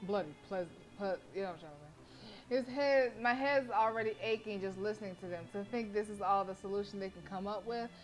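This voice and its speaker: A woman livestreamer reading a visual novel aloud with informal, expressive commentary. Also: medium pitch, fast, faint music behind. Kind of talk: casual game commentary and read-aloud narration.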